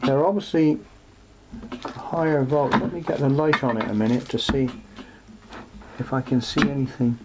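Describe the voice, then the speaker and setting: Male workshop presenter, mid-pitched, unscripted and hesitant, thinking aloud over faint noise and hum. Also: steady tone, normal speed, long pauses. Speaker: presenter showing vintage electronics for restoration. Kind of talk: unscripted workshop show-and-tell. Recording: clearly noisy, with background hum.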